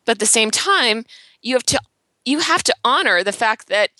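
Bright, dry-voiced woman talking candidly. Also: on the high side, expressive, normal speed, no room sound. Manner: earnest, self-reflective, laughs lightly, many "um" and "like". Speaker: interior designer and blogger, guest. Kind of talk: candid podcast interview chat.

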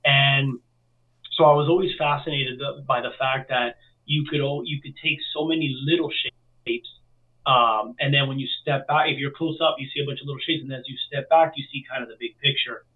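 A man's voice: mid-range voice, steady tone, moderate pace. A man from Miami speaking as an interview guest, casually telling his own story over a remote call. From Miami, United States. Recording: distant, thin phone-like call audio.

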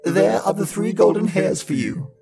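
A man voicing a wily old grandmother in a storybook tale, creaky, coaxing and drawing out the words. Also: high voice, normal speed, warm tone, soft background music, pitched above the performer's own voice, exaggerated voice. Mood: kindly. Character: devil's old grandmother, wily, soothing, scolding old woman.

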